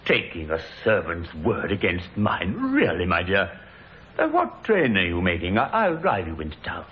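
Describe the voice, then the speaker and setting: Patronizing English husband, low voice, hugely varied intonation, vintage sound. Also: male voice, big pitch swings, normal speed, dull audio. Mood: patronizing, curt. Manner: cool, patronizing. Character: smooth-talking, condescending, philandering English husband. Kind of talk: 1950s radio drama acting. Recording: vintage radio recording with hiss.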